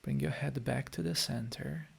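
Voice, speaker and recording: A man with a Polish accent and a heavily gravelly, creaky voice, a meditation teacher talking straight to his listeners. He speaks in short phrases at a middle pitch, Polish being his first language. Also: moderate pace. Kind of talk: guided meditation and live-stream chat.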